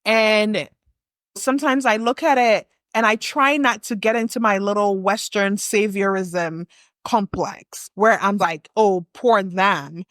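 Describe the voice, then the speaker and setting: A woman's voice: mid-range voice, steady tone, takes it slowly, fairly bright tone, no room sound, studio quality. Earnest woman co-host from West Africa. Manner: earnest, thoughtful, builds her points in long runs. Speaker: podcast co-host. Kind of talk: reflective conversation.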